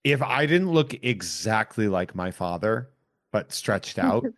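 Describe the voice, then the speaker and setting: Low-voiced Boston man, joking around. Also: lively intonation, a little slow, fairly bright tone, no echo, clean studio sound. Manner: joking, rambling, drops into a thick local accent for laughs. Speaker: podcast host. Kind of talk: casual chat.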